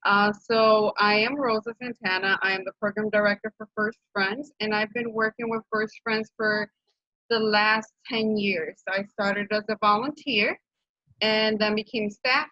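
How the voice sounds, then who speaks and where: A woman's voice: mid-range voice, fairly even intonation, unhurried, dry recording. A woman in her thirties from Honduras, speaking with a Honduran Spanish accent, earnestly presenting her nonprofit's work on a video call with many fillers and restarts. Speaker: program director of a nonprofit. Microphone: video call microphone. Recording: dull, dry video-call audio.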